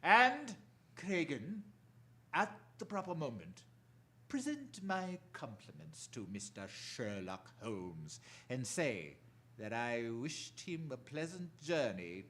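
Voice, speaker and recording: Man acting menacing male crime boss, radio drama, lively swooping pitch, imperious, deliberate. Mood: coldly menacing.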